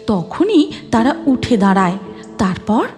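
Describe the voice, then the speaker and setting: A woman's voice: a little high, very animated, measured pace, darkish tone, soft background music. A woman narrating a scripted fairy tale as a storyteller.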